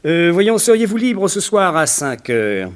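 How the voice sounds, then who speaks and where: A man's voice: high-pitched, sing-song, unhurried, smooth. An actor playing a rich, mad and courteous villain in a 1960s French radio drama, savouring his polite phrases. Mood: courteous, charming. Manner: polite, savouring, theatrical delivery. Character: smooth, mad, courteous rich villain who collects statues.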